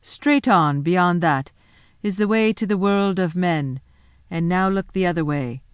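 A woman voicing a cold, scheming queen who speaks slowly and coaxingly. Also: on the low side, smooth, dry recording, studio quality, lightly acted. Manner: slow, coaxing and commanding.

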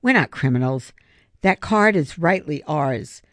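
A woman's voice: sits low, lively intonation, unhurried, no echo. A woman voicing an earnest, scheming schoolboy ringleader who argues insistently in an audiobook story. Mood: insistent, placating. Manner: pleading, insistent.

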